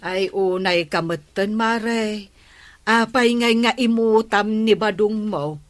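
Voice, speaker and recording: A woman's voice: medium pitch, slow pace, natural voice. A woman playing a frank, sympathetic village neighbour in an Ilocano radio comedy. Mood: chiding. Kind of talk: comedic radio drama acting.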